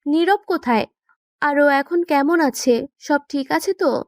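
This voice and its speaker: A woman plays a young woman in love for an audio story. She is headstrong and emotional, high-pitched, and recorded close with very little room. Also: measured pace, a little mellow, no room sound. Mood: worried. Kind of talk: audio story character acting.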